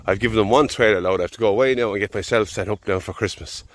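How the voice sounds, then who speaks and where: A man's voice: on the low side, rapid-fire. An Irish man farming, talking easygoing and rambling out in a field while fighting off a head cold. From Ireland. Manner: easygoing, rambling, thinking aloud. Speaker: farmer. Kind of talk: casual vlog narration. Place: outdoors in a field.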